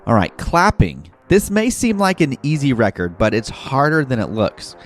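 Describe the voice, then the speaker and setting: A man's voice: mid-range voice, wildly swooping pitch, moderate pace. Encouraging male kids' podcast host over music. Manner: encouraging, addresses young listeners directly. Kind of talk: scripted narration and game hosting for children. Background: background music.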